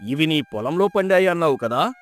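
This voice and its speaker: A man's voice: a little high, animated, fairly quick, lightly acted. A man playing a stern, authoritative king in a dramatised folk tale, with a commanding, declamatory manner. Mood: stern, questioning.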